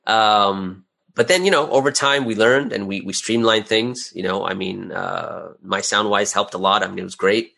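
Low-pitched man, casual interview guest. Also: normal speed, gravelly, sharp tone. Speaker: interview guest, audio drama creator. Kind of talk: casual interview answers, anecdotal.

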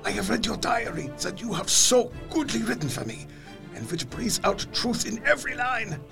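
An actor playing an effusive, courtly older foreign doctor in a gothic audio drama, with florid, earnest phrasing. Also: male voice, high-pitched, big pitch swings, normal speed, creaky, music in the background, exaggerated voice. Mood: concerned, probing.

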